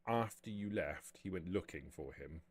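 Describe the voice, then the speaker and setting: A very deep-voiced, cautious vampire retainer, played by a man at a tabletop game. He gives counsel formally and with care, in measured and halting phrases. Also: expressive, slightly rough. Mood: grave, careful.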